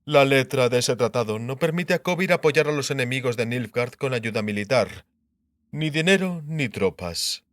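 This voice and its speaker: A man performs a shrewd king, sure of himself and mocking. His mid-pitched voice swings expressively through pompous, dry lines, recorded close and without room sound. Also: animated, normal speed, no room sound, lightly acted. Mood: dry, formal. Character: shrewd, mocking, self-assured male king. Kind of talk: dramatised audiobook dialogue.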